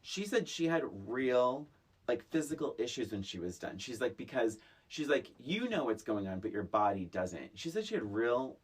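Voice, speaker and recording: A podcast co-host chatting casually about movies in a blunt, animated way, swearing freely. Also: mid-range voice, normal speed, husky.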